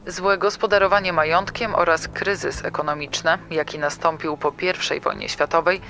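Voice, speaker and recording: A man's voice: on the high side, steady tone, normal speed, gravelly, bright, forceful tone. A man reading scripted true-crime narration in a formal, articulate way.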